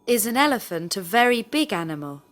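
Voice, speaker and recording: A woman's voice: mid-range voice, big pitch swings, a little fast, a bit bright. A woman in the role of a language teacher, reading scripted questions with careful phrasing. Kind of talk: scripted question drill, read aloud.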